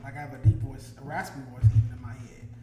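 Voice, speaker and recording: A man's voice: medium pitch, little pitch movement, fast talker, a crowd in the background. Chatty man in an echoey room. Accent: Los Angeles. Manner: loose, chatty, reads out viewer comments. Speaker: podcast host. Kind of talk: casual livestream chat.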